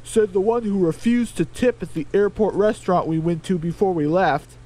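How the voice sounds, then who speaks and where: A man's voice: high-pitched, moderate pace, dark tone, voice pushed up, rough recording. A man voicing a whiny, nervous male chicken who gripes and complains in a radio comedy. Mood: whiny blame. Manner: whiny, griping.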